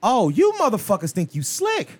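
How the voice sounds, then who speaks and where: A man's voice: sits high, moderate pace. A man in his thirties co-hosting a podcast, chatting casually and profanely, laughing and raising his voice when surprised. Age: 30s. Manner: animated, loud, swears freely, laughs often. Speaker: podcast co-host.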